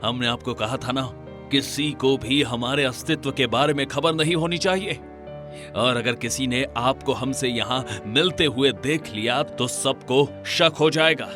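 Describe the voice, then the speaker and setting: A man's voice: mid-range voice, measured pace, music in the background, natural voice. A man playing a dignified, secretive king in a cartoon story, speaking formally and with measure. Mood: stern.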